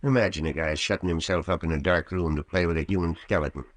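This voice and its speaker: An actor playing a cautious, grumbling, folksy small-town doctor in an old-time radio drama. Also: male voice, deep voice, fairly even intonation, fast talker, no echo. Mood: uneasy, grumbling.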